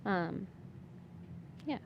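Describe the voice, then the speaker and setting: A woman, a guest on a podcast, talking casually and softly with many fillers and restarts. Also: very slow, highs cut off, background noise. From Michigan. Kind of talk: casual interview talk.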